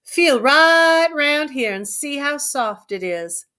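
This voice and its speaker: A woman's voice: high voice, animated, slow pace, pushed and bright, voice pushed up, full character voice. Woman doing a young ranch wife, coy, vain, lazy drawl.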